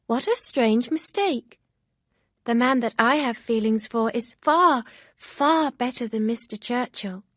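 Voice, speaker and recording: A woman reading an audiobook, voicing an excitable, naive young woman who talks in a bright, eager rush. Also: a little high, a little slow, dry recording, clean studio sound, pitched above the performer's own voice.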